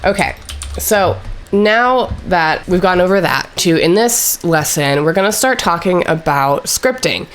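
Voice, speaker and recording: A woman instructor narrating a screen-recorded software tutorial, still getting over a cold, explaining step by step with frequent fillers. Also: on the low side, lively intonation, unhurried. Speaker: course instructor.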